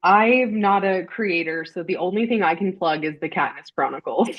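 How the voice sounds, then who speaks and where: A woman's voice: fairly low pitch, moderate pace. A woman speaking casually as a panel guest, her voice coming through a dull, lo-fi microphone. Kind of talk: casual chat.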